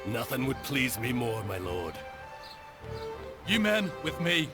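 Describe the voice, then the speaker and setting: An actor playing an earnest Scottish knight captain who gives firm orders in a historical strategy game. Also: male voice, mid-range voice, very animated, slow, over music. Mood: firm, guarded. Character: stern young Scottish knight captain commanding soldiers.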